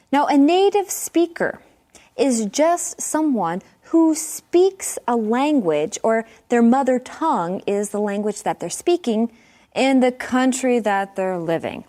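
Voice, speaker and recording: Slow-speaking woman teaching English. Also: a little high, big pitch swings, warm tone. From native English speaker. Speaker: co-host of an English lesson show. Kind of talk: scripted teaching chat.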